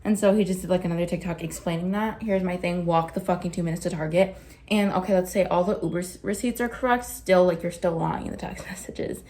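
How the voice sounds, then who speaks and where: A young woman doing casual commentary, blunt and full of "like" fillers, her pitch jumping up when she gets worked up. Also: fast talker, darkish tone. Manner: blunt, swears, many "like" fillers. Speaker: vlogger.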